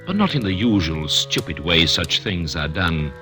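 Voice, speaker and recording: An actor playing a smug, cold male murderer who narrates his own trial with calm superiority, in a 1940s radio drama. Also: deep voice, normal speed. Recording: old radio recording with music.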